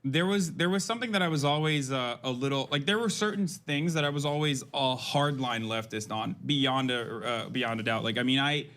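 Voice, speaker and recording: A young man from Turkey talking on a casual panel. He rambles as he goes and keeps doubling back to restart his sentences. His voice sits at a middle pitch. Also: normal speed, hardly any pauses, a bit bright. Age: young adult. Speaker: political commentator.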